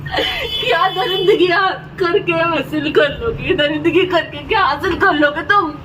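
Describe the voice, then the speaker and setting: A woman's voice: extremely high pitch, lively intonation, measured pace, a crowd in the background, rough recording. A young woman who is crying and distraught, pleading and repeating anguished questions in an emotional outpouring. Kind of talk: emotional outpouring to camera and to God.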